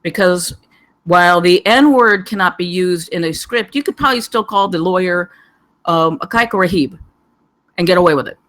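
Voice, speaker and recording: A panelist giving digressive, halting spoken feedback on a script, wandering from point to point. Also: medium pitch, fairly bright tone. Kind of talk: rambling spoken critique.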